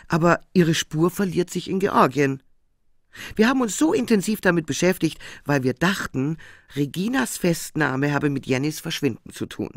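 An actress playing a businesslike investigator who sums things up matter-of-factly in a radio crime drama. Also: female voice, fairly low pitch, no room sound, clean studio sound. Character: businesslike investigator summing up a case.

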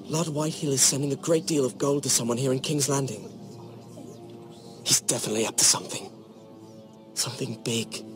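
A man's voice: medium pitch, brisk, pauses often, over music, lightly acted. A young man playing an earnest, loyal, secretive servant informant in a video game drama.

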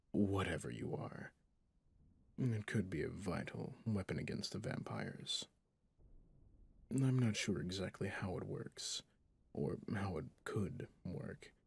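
A man playing a guilt-ridden, stern, centuries-old vampire hunter in an ASMR roleplay, speaking solemnly and haltingly in a husky, breathy half-whisper. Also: deep voice, heavily acted. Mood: apologetic, persuasive.

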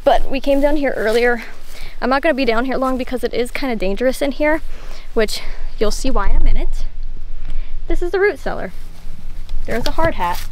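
Young woman vlogging on her phone, excitable and chatty, lots of "like", a bit high-pitched, faint noise behind. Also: a little fast, poor audio. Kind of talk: casual exploring vlog. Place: outdoors and inside an abandoned house. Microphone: handheld phone at arm's length.

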